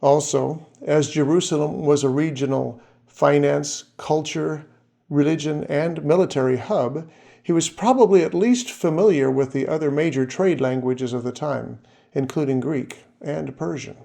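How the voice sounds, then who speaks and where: Man, scripted narration, measured and steady, gravelly, dark mellow tone. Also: on the high side. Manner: measured, lists names and facts steadily. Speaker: series narrator.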